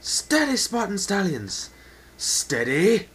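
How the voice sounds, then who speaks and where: A fierce stallion commander, acted out by a man, roars battle orders at full force. The voice is pushed well up in pitch and rings with command, in the manner of a fantasy storybook battle. A faint noise sits behind it on an amateur recording. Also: huge pitch swings, takes it slowly, full character voice. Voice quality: powerful, roaring shout. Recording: amateur home recording with background whine.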